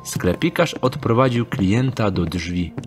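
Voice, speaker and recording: A man narrating a radio drama in a calm, measured storytelling manner. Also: on the low side. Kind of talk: scripted audio drama narration. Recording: narration over music score.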